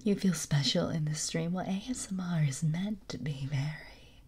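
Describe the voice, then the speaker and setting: A woman streamer whispering softly in an intimate ASMR livestream, with a raspy, deep voice and frequent giggles. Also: moderate pace, a little hiss or hum.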